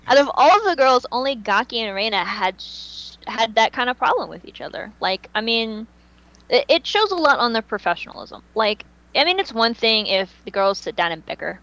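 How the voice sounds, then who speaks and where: A woman's voice: a little high, big pitch swings, moderate pace, fairly bright tone, no echo, highs cut off. Chatty, opinionated woman in casual talk. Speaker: fan podcast host. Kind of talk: casual fan chat.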